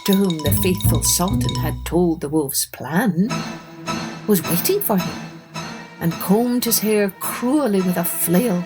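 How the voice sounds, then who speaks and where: Low-voiced woman storyteller over music. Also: slow. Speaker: storytelling podcast host. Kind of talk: expressive scripted fairytale narration for children. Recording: music under the voice.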